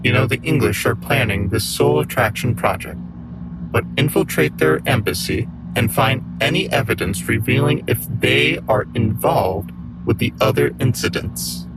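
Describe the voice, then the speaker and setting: A man's voice: medium pitch, animated, moderate pace, gravelly. A man playing a businesslike covert agency briefer in an audio drama. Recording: loud background noise and ambience.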